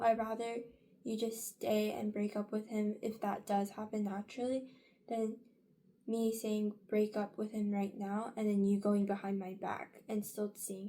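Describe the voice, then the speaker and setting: A woman's voice: medium pitch, mellow. A young woman in her late teens chatting casually in a get-ready-with-me vlog, rambling with many fillers and restarts. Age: young adult. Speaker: vlogger.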